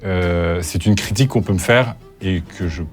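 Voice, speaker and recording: A man's voice: low-pitched, moderate pace, over music. A man hosting his video channel, answering questions in a candid, hesitant way and sounding a bit nervous. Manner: hesitant, candid, many fillers and restarts. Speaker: youtuber host. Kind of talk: casual talk, answering interview questions.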